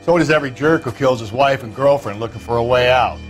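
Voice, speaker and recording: A man's voice: medium pitch, fast, faint music behind, natural voice. A man playing a world-weary, wry American detective partner in a video game, cynical and brusque.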